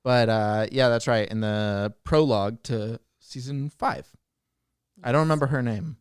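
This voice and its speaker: A man with a smooth, clear voice and a middling pitch, recorded close and dry. He co-hosts a casual chat and talks in a joking, teasing way. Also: unhurried, dry recording. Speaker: podcast co-host and audio drama producer.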